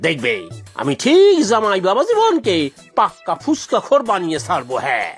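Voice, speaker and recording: A boastful, food-loving cartoon father acted by a woman blusters and exclaims his way along. The pitch swoops wildly from low to high, and music plays underneath. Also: wildly swooping pitch, fairly quick. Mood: blustering. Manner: blustering, exclaiming, tacks on emphatic tag words. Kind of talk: exaggerated cartoon voice acting.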